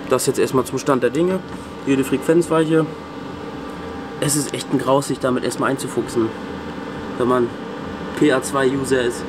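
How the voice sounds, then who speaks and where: Casual man vlogging over background hum. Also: medium pitch. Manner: informal, off-the-cuff, colloquial. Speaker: video host and PA sound technician. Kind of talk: casual handheld vlog commentary. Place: indoor venue rooms and cellar. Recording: background hum and room noise.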